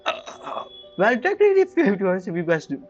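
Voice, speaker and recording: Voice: mid-range voice, wildly swooping pitch, quick pace. Blunt gamer streaming on a dull mic. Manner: informal, blunt, scoffing, non-standard grammar. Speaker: streamer reacting to game. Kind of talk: casual gameplay commentary. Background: game music.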